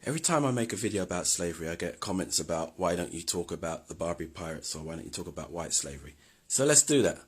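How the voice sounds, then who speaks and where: Husky, very deep-voiced man. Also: big pitch swings, quick pace. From London, England. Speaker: video host. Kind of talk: scripted history commentary.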